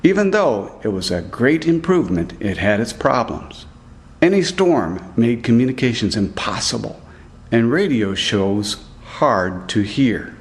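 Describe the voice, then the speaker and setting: A man narrating a scripted report as a student reporter, reading aloud in a deliberate way. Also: medium pitch, sing-song.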